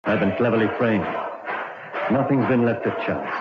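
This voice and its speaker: An actor playing an innocent condemned prisoner, weary and resigned, in a vintage radio drama. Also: male voice, fairly low pitch, lively intonation, moderate pace, a loud crowd, natural voice. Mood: bitter, weary. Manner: resigned, despairing. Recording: dull, very noisy, echoing old radio recording.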